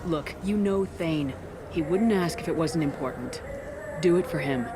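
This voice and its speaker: An actress playing a direct, controlled military commander in a sci-fi video game, with a probing, firm manner. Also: female voice, deep voice, normal speed, natural voice. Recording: clear background noise, vehicle ambience.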